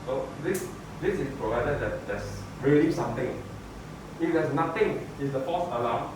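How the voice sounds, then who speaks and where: A man giving a hands-on spoken demonstration as an instructor, explaining in a halting, repetitive way. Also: mid-range voice, lively intonation. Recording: echoey room, distant mic, noisy background.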